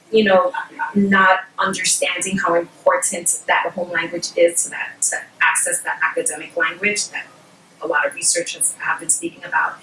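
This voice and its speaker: A middle-aged woman whose first language is Spanish talks in a measured, explanatory way, leaning on ums and personal examples. Her voice sits fairly low. It comes from a distant mic in an echoey room with faint background hiss. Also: pushed and bright. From Puerto Rico. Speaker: guest speaker on bilingual education. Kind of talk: explanatory workshop talk.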